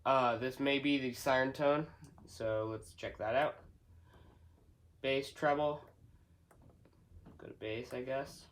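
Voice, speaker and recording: A man doing an unscripted product walkthrough, halting as he thinks aloud step by step. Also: medium pitch, steady tone. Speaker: hobbyist product reviewer. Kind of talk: unscripted unboxing and setup walkthrough.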